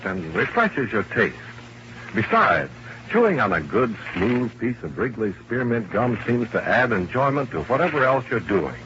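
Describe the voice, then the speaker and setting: A man's voice: medium pitch, fairly bright tone. A man announcing in 1950s American radio commercial style, polished and salesmanlike, with emphatic delivery. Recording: dull, noisy vintage radio recording.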